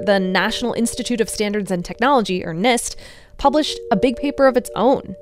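A woman reporter explaining research in a conversational podcast style. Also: mid-range voice.

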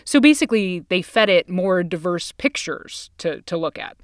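A woman's voice: on the low side, expressive, normal speed, no echo, studio quality. A woman podcast host chatting casually, reacting, recapping and asking questions. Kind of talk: casual conversational co-hosting.

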